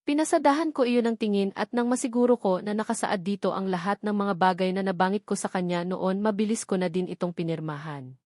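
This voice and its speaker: A Filipino woman narrates a story in the first person as an audiobook reader. Her voice sits at an average pitch for a woman, recorded dry with no room sound around it. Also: a little fast, a little mellow, no room sound.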